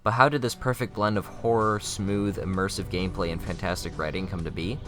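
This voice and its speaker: A man's voice: sits low, steady tone, a little fast. A young man reading scripted narration as the presenter of a video essay. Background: faint music.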